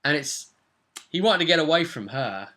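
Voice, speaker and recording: A man's voice: a little high, animated, moderate pace, bright, forceful tone. A young man casually recapping a tabletop role-playing session as game master. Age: young adult. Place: small furnished room at home.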